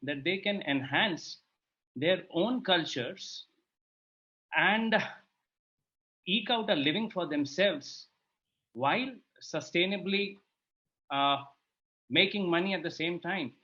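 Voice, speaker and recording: A man's voice: sits high, slow, pauses often, sharp tone. A man with an Indian accent sharing reflections as a participant in an online discussion, with dull lo-fi sound. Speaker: panel participant. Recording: dull lo-fi online call audio.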